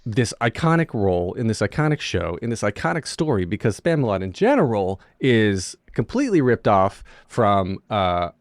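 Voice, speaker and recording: Male podcast host, animated pitch, rambling questions, dry close sound. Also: moderate pace, few pauses, no echo. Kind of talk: interview questions.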